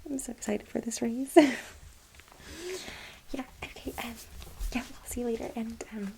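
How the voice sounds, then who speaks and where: A woman playing a fawning, gossipy office worker in a soft-spoken ASMR role play, breathy and hesitant. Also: on the high side, fairly even intonation, a little hiss or hum. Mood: grateful, excited. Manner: hesitant, halting, nervous giggles, ingratiating. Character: fawning, gossipy female office employee sweet on her boss.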